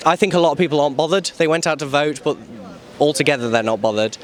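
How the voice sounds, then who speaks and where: A young man with an East Midlands accent gives casual answers to an interviewer. His voice is a little higher than most men's. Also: quick pace. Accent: English East Midlands. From English Midlands. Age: young adult. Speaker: interviewee, student.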